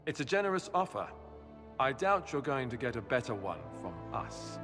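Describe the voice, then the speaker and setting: Guy playing annoyed king, regal and blunt, echoey, loud-ish music behind. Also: mid-range voice, sing-song, moderate pace, close to an everyday voice. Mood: grudging. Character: firm, displeased young-to-middle-aged king. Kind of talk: video game cutscene acting. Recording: hall reverb, clear music behind.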